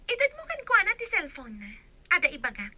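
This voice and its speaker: A woman playing a high-voiced female character in an Ilocano village radio drama. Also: wildly swooping pitch, brisk, sharp tone, no room sound, heavily acted. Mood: brazen.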